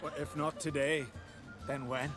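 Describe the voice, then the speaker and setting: A man's voice: on the high side, little pitch movement, unhurried, over music, lightly acted. A man playing a jovial, drink-loving islander in a fantasy game, blunt and jocular. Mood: jovial, impatient. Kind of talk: cinematic fantasy game acting.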